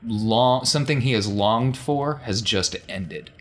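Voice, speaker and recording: A man's voice: mid-range voice, takes it slowly. A man narrating a tabletop game as its game master.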